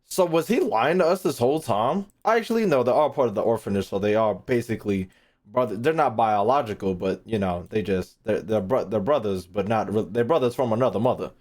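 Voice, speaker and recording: A man's voice: fairly low pitch, animated, fairly quick, a little mellow. A young man talking casually on a live stream, slangy and rambling as he thinks aloud while reacting. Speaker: streamer reacting to game lore.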